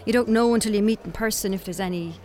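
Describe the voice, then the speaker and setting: A woman's voice: mid-range voice, a little mellow, natural voice. An actress with an Irish accent playing a frank, weary woman on a first date in an audio drama. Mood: wry. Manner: frank, dry, brisk. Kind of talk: naturalistic audio drama dialogue.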